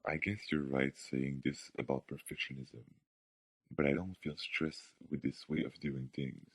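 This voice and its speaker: A young male student with an extremely deep voice takes part in a scripted radio debate. He words his view formally, recorded dry and without room sound. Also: steady tone, a little slow, breathy edge, dry recording. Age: young adult.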